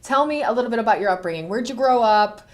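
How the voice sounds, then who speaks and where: A woman's voice: fairly high pitch, expressive, fast. A woman hosting an interview podcast in a studio, upbeat and eager, with plenty of "um" and "uh". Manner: upbeat, eager, many fillers. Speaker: podcast host.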